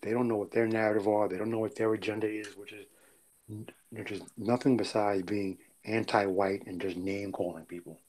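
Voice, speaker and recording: A middle-aged American man with a fairly low voice talks politics in a loose group conversation. He is blunt and dismissive about the views he rejects, and a short chuckle slips in between his points. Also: little pitch movement, brisk, darkish tone, rough recording. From United States. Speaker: podcast co-host. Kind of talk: casual political discussion.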